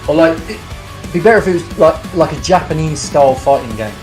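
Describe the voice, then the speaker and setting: A man's voice: a little high, animated, fairly quick, darkish tone, faint music behind. A man co-hosting a podcast, chatting casually about songs in a rambling, hesitant and jokey way. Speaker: podcast co-host reviewing songs. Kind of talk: casual music reaction chat.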